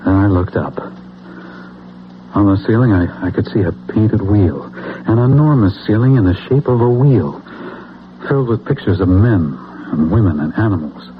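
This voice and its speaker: A man with an American accent acting in a radio drama as an earnest, dazed soldier, telling his story haltingly and reflectively. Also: fairly low pitch, expressive, slight background noise, natural voice. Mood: reflective, wondering. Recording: phone-like old radio, clear music behind.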